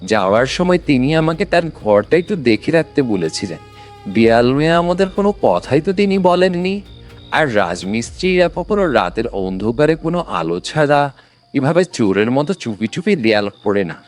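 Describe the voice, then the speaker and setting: Man playing animated, pious old man. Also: mid-range voice, fairly quick, faint music behind, lightly acted. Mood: wary suspicion. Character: pious, shrewd, kindly old man of old Baghdad. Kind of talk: dramatic animated moral story.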